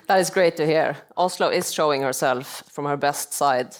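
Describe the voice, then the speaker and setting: A young woman moderating a seminar on stage, speaking politely and formally in a measured way. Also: deep voice. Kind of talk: formal onstage interviewing. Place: business school seminar stage.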